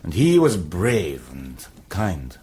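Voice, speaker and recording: Radio drama: proud young medieval soldier, low voice, formal, measured, courteous and sincere. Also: male voice, wildly swooping pitch, darkish tone, exaggerated voice. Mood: earnest, proud. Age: young adult.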